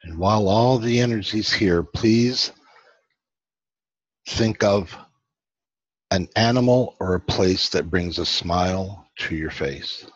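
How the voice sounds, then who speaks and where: A man leading a guided meditation as host, giving slow, soothing instructions with long pauses and a husky voice. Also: deep voice. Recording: dull, lo-fi, roomy sound.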